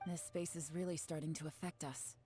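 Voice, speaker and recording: An actress playing a composed, sharp woman investigator who speaks calmly and analytically. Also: fairly quick, lightly acted. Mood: cool. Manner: calm, analytical, low-key. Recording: game cutscene with soft music.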